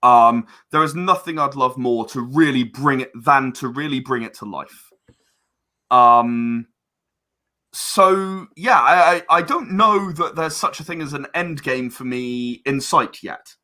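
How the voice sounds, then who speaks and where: Bright-voiced man, halting and earnest. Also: mid-range voice. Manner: hesitant, halting, repeats words; earnest about creative work. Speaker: interview guest, game and audio drama creator. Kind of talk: casual interview chat.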